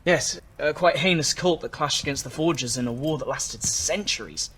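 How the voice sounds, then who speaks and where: A man's voice: on the high side, moderate pace, a bit bright, slight background noise, natural voice. An actor playing a nervous, bookish young male scholar in a video game, speaking in a hesitant, earnest, stammering way. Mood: explaining. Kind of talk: video game cutscene acting.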